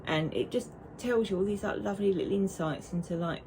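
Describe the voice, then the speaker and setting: A woman's voice: mid-range voice, fairly quick, darkish tone, a little hiss or hum, low-quality recording. A woman, chatting casually and rambling to camera as a knitting vlogger. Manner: chatty and rambling, with frequent restarts.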